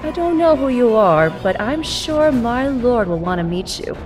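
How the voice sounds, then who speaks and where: High, dramatic dark warrior heroine. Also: female voice, big pitch swings, moderate pace, soft background music. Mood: cold, menacing. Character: dark, punk older Pink Ranger warrior woman under evil control. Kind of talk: audio drama acting.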